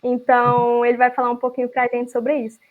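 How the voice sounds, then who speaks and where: A woman's voice: a little high, monotone, a little fast. A Brazilian woman hosting a live online event, chatty, with many fillers and restarts. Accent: Brazilian Portuguese. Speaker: event host, chapter leader. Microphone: video call. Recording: lo-fi online call audio.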